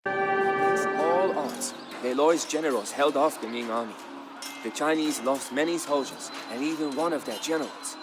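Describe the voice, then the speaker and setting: A man reading a scripted, dramatic historical narration in a measured, solemn storytelling manner. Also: a little high. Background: background music.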